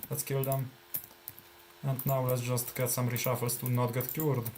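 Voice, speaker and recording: A man with a Polish accent talks through his gameplay at a relaxed, middling pitch. He thinks aloud, trailing off with fillers and restarts. Faint background noise and keyboard typing sit under his voice. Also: moderate pace. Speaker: gameplay streamer. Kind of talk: casual live gameplay commentary.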